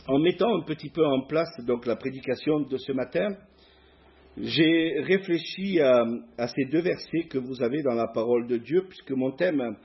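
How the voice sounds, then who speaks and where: A middle-aged man with a southern French accent, a guest preacher giving a sermon in church, hesitant with many pauses. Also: medium pitch, lo-fi sound. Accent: southern French (Provence). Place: church hall before a masked congregation.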